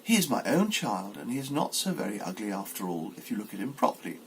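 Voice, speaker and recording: A man's voice: fairly low pitch, big pitch swings, fast, a bit bright, a little hiss or hum, low-quality recording. A man voicing a proud, protective mother duck in an exclaiming, instructive way, as in a storybook reading.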